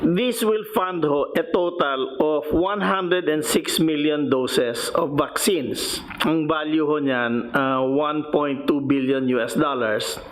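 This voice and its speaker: A Filipino-accented man delivers an official report in a methodical, point-by-point way. His voice sits a little high and he talks very slowly. A faint noise runs behind him. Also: rough recording. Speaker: finance secretary presenting.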